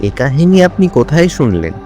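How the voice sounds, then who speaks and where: A man's voice: a little high, sing-song, a little fast, dark tone, soft background music. A male actor playing a puzzled, curious police officer in an audio drama, speaking in a questioning manner. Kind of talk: Bengali audio drama dialogue.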